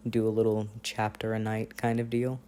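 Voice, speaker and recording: A man playing an affectionate, playful boyfriend on a long-distance phone call, in intimate, casual ASMR roleplay with gentle teasing and hesitant pauses. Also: on the low side, level tone, slight background noise, natural voice. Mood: earnest, chatty. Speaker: audio roleplay performer.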